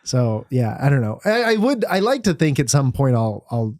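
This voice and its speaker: A man's voice: medium pitch, sing-song, normal speed, a little mellow, no echo, clean studio sound. A young man chatting casually as a guest, rambling and saying "dude" and "like" a lot. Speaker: guest comedian. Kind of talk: casual podcast chat.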